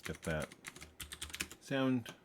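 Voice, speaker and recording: A man's voice: mid-range voice, animated, drawn-out words, noisy background. A man hosting a programming tutorial, thinking aloud as he codes, tired, with many ums and uhs. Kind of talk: casual screen-recorded coding walkthrough.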